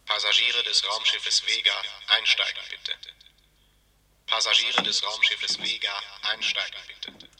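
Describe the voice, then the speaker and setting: Stern official announcer: lowish, piercingly bright, clipped commands, echoey 1950s radio play. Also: male voice, level tone, unhurried, a little hiss or hum, full character voice. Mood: stern, impersonal. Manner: clipped, formal, repetitive. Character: stern, impersonal government bunker announcer sending secret reports.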